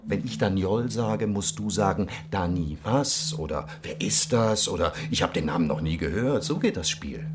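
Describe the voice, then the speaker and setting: An actor playing a hard-nosed police investigator in a radio crime drama, sardonic, commanding and cutting. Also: male voice, low-pitched, animated, moderate pace, few pauses, noisy background. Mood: needling, superior.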